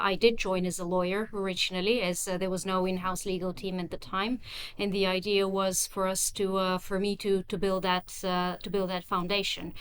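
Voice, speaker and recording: A woman answering interview questions as a chief executive, in a measured, articulate way with hesitations between phrases. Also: mid-range voice, a bit bright, clear, resonant tone, rough recording. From Bulgaria.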